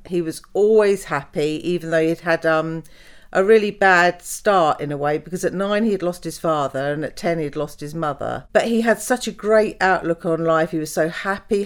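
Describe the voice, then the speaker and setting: An older woman, telling the story of her life in a casual interview, with soft laughs and fillers. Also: fairly low pitch, moderate pace, clean tone. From Canada. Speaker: guest, host's mother.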